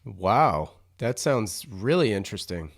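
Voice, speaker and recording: A man hosting a podcast, chatting keenly with a guest over a video call and cutting in with quick affirmations. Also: fairly low pitch, big pitch swings, husky. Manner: enthusiastic, frequent short affirmations. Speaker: podcast host. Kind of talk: casual interview chat.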